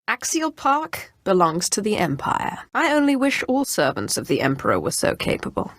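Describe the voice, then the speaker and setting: A ruthless, expressive Sith inquisitor. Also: female voice, lowish voice, dry recording, clean studio sound. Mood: haughty, commanding. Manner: commanding, menacing, mocking. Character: ruthless, haughty female Sith inquisitor, cruel and sardonic. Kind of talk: scripted video game character lines.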